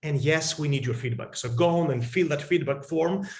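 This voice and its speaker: A man's voice: fairly high pitch, moderate pace. Playful Greek-accented man, roomy sound. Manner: witty, playful, joking asides. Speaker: conference co-chair. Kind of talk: closing remarks at an online conference. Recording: roomy echoey video-call audio.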